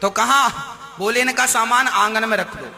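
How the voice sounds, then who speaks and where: A man's voice: very high-pitched, normal speed, pushed and bright. A man telling a moral story in a conversational, preacher-like way, acting out the dialogue as he goes. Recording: long hall reverb, music underneath. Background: music.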